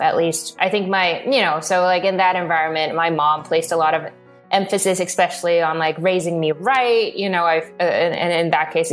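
A young woman from Hong Kong, a guest telling her story in a casual interview, rambling with many fillers and restarts. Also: sits low. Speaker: interview guest, video producer.

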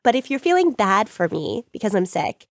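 A woman's voice: mid-range voice, big pitch swings, moderate pace, dry recording, clean studio sound. A woman co-hosting a podcast in casual chat, sounding nasal and congested from being sick. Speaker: podcast co-host.

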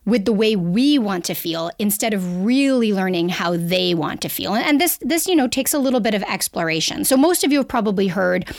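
Canadian woman, mid-pitched, upbeat guest expert, close dry mic, laughs easily. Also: brisk, few pauses, no echo. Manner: lively, talkative, laughs easily. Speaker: guest sexologist. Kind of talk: podcast interview, explaining.

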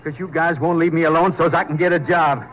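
A man's voice: fairly high pitch, steady tone, quick pace, fairly bright tone, close to an everyday voice, rough recording. A man playing a surly, whining, defensive ex-convict in a 1950s western radio drama. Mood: resentful. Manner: whining, slangy, defiant. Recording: old 1950s radio recording.

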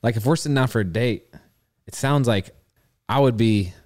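A man's voice: medium pitch, moderate pace, a little mellow, dry recording, clean studio sound. A man co-hosting a podcast, asking questions in a searching, stammering way with many restarts. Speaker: podcast co-host. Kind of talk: conversational interviewing.

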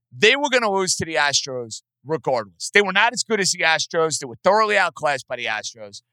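A man's voice: sits high, sharp tone, no room sound, low-quality recording. A man hosting a sports call-in show. He talks emphatically and repeats points for stress. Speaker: sports radio host.

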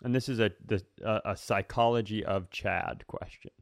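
A man's voice: on the low side, steady tone, moderate pace, creaky, no echo, studio quality. An American man co-hosting a podcast, talking casually and rewording his thoughts as he goes. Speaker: podcast co-host.

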